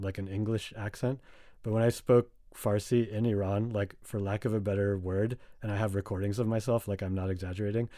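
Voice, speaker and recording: A man's voice: lowish voice, mellow, dry recording, studio quality. A young man from the United States, a guest in a casual conversation, rambling with many fillers and restarts.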